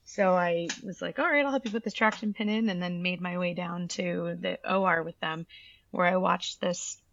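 A woman's voice: on the low side, fairly even intonation, moderate pace, creaky, a bit bright, muffled recording. A woman in her thirties from Canada, a pediatric orthopedic surgeon, telling her career story in an enthusiastic, chatty way. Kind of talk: interview answers.

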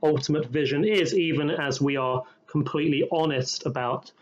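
Measured rabbi, slightly dull sound. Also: male voice, fairly high pitch, fairly even intonation. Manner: measured, thoughtful, slowing on key phrases. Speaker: rabbi, podcast co-host. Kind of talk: reflective conversational discussion.

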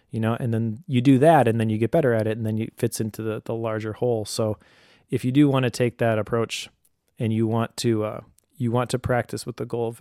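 A man's voice: medium pitch, darkish tone, no room sound, studio quality. A middle-aged man speaking casually and thoughtfully, explaining advice as a parent. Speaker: homeschooling parent giving advice.

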